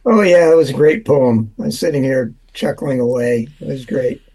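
A man giving a casual weather forecast on the radio, relaxed and unhurried, with many filler sounds. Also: medium pitch, low-quality recording. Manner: relaxed, drawn out with lots of uhs. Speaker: weather forecaster and humor guest.

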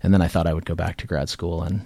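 A man from Maine, a judge, answering interview questions in a relaxed, measured way. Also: deep voice, gravelly, no room sound. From Maine, USA. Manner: relaxed, measured, some fillers. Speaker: guest, judge. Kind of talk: radio interview.

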